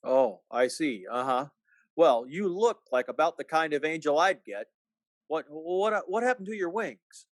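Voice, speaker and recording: A troubled small-town husband played by a man in an old-time radio drama. His voice sits a little high and moves around expressively, halting and folksy with a sardonic, skeptical edge. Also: expressive. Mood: skeptical, puzzled. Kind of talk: 1940s-style radio play acting.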